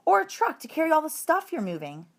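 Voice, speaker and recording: A woman voicing eager young schoolchildren in a storybook, blurting out excited answers to a teacher. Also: sits high, fast, voice pushed up, exaggerated voice.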